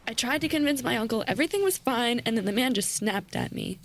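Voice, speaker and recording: Woman acting a high-voiced frightened young hostage. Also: expressive. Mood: anxious, urgent. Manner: anxious, pleading, halting. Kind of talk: audio drama acting. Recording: loud noise behind the voice.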